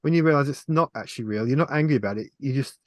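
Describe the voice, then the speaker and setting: A man's voice: medium pitch, racing, a little mellow, no echo. A man chatting casually as a panelist in a film review on a video call.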